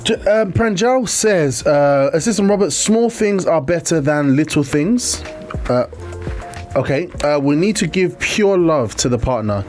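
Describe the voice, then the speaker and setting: A man's voice: fairly high pitch, lively intonation, unhurried, a little mellow, faint music behind. Rambling man reading viewer comments. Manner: rambling, repeats words, talks to viewers. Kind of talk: casual faith-based relationship talk.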